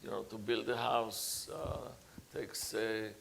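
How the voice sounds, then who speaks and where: A man speaking on a conference panel as a professor, halting often with fillers and restarts. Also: lowish voice, level tone, gravelly, fairly bright tone, a little hiss or hum.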